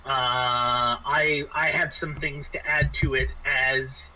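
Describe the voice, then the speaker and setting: A man's voice: mid-range voice, level tone, slow pace, pushed and bright, low-quality recording. A man running a casual online tabletop game as game master, explaining things in a hesitant, stop-start way. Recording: faint background noise.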